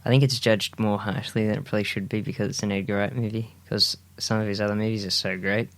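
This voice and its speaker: A man's voice: on the low side, steady tone, fast, fairly bright tone. A man co-hosting a film podcast, easygoing in casual chat, often restarting his sentences. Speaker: podcast co-host.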